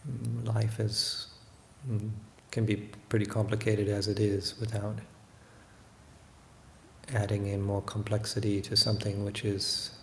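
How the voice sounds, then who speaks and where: A man giving a guided meditation talk as a Buddhist teacher, unhurried and hesitant, often pausing to reflect. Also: lowish voice, little pitch movement, gravelly, a bit bright. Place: room in a monastery. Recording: roomy echo, faint background noise.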